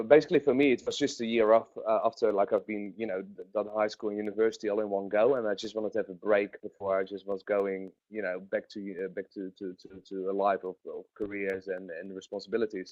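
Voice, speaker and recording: A man's voice: sits low, darkish tone, dry recording, highs cut off. A man, a webinar guest recalling travel with lots of fillers and restarts. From Netherlands. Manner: rambling, many fillers and restarts. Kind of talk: webinar interview.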